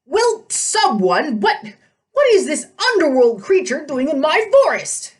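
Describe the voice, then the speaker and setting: Woman putting on a huffy, pompous old satyr, pitched up. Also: sing-song, unhurried, far from the mic. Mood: indignant. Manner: huffy, blustering, stammering when flustered. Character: very old, fat, indignant male satyr, pompous blusterer.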